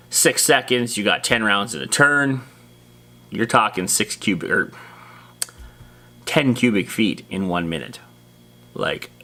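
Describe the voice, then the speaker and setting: A man hosting a casual, unscripted explainer on tabletop roleplaying, talking in a slangy, rambling way with animated, dramatic emphasis. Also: lowish voice. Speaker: host of a tabletop roleplaying monster lore series.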